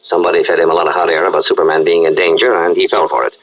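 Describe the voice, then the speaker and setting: A man acts a gruff, skeptical police inspector in an old radio serial. He is brusque and exasperated, with a lowish voice and dull, muffled sound. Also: flat delivery, very fast. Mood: irritated. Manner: brusque, blunt, colloquial. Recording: dull, band-limited radio-style recording.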